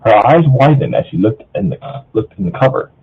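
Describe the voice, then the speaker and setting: A man's voice: expressive, a little fast, vocal fry, slightly rough. A man casually reading a fan fiction story aloud, stumbling and repeating phrases, over a muffled voice chat. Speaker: story reader. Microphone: online voice call. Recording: muffled low-fidelity voice chat.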